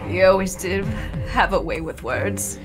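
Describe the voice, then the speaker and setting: Actress as wounded lady agent, soft and failing, low murmur over music, spy-parody radio play. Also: a little slow, close to an everyday voice. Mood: weak, tender. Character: wounded female secret agent, tender and fading.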